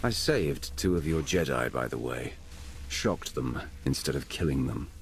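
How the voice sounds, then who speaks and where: An actor playing a steady, terse, gruff male ally in a video game cutscene, understated. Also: very deep voice, measured pace, a little hiss or hum, exaggerated voice. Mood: dry.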